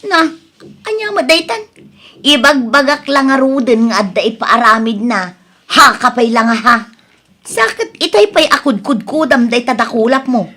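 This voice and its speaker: A woman's voice: fairly high pitch, animated, normal speed, sharp tone. A woman playing a blunt, nagging village wife in a comedic radio drama, scolding and exasperated in a conversational way. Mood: matter-of-fact, teasing.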